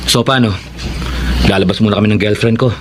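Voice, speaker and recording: An actor playing a breezy, brisk young boyfriend in a Filipino radio drama. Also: male voice, lowish voice, steady tone, background noise, lightly acted.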